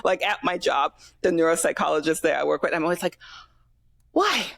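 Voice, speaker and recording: A woman's voice: fairly low pitch, animated, a little fast, gravelly, a bit bright, no room sound. A woman hosting a podcast interview, chatting casually, giggling a lot and stumbling over her words. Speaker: podcast host, voice actor.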